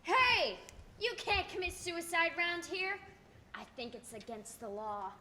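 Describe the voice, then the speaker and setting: A blunt, folksy toll booth keeper, acted by a young woman for a radio play. The voice is high and bright with lively swings, ringing in a hall. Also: unhurried, slight background noise, exaggerated voice. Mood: startled, wary. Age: teens to young adult. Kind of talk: stage radio play acting. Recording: echoey hall.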